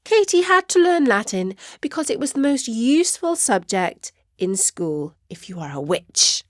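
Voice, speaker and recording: A woman narrating a children's story in an expressive storytelling manner. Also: on the high side, measured pace, no echo, studio quality.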